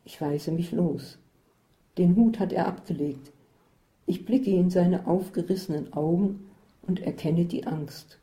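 A woman reading a first-person story aloud in a measured, descriptive way. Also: on the low side, dark tone. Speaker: narrator.

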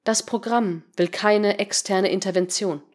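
Woman voicing a slow, literal computer intelligence. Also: medium pitch, fairly even intonation, lightly acted. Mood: flat, declarative. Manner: slow, clipped, measured, stilted. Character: artificial intelligence program, literal, naive, emotionless.

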